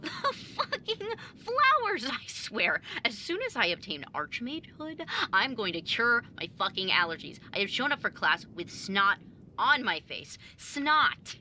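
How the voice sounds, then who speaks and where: A woman playing a bookish, high-strung young mage student in an audio drama, sarcastic and emphatic in a naturalistic way. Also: sing-song, unhurried, sharp tone, slight background noise, heavily acted, poor audio. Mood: exasperated.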